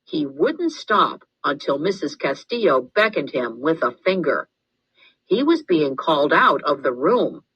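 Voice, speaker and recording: A woman reading a children's story aloud as scripted narration, in an expressive, deliberate way. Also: low voice, slightly rough, lo-fi sound. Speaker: storybook reader.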